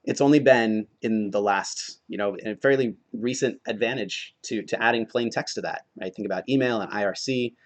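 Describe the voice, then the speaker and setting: A man in his thirties with a cold and a croaky voice, giving a relaxed, joking tech talk to a meetup audience. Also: fairly low pitch, normal speed, hardly any pauses. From Oregon, United States. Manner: relaxed, self-deprecating humor, frequent "uh". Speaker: conference speaker. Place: meetup venue before an audience.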